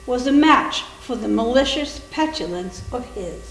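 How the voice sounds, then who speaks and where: A woman's voice: fairly high pitch, lively intonation, normal speed, slight background noise. A woman reading scripted story narration in a readers theater performance. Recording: echoey room recording.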